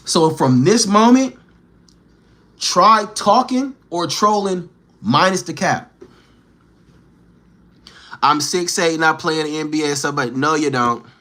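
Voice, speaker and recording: A man's voice: on the high side, animated, slow pace, long pauses, bright, forceful tone. A man livestreaming, bantering with and teasing his chat in slangy street talk, firing challenging questions.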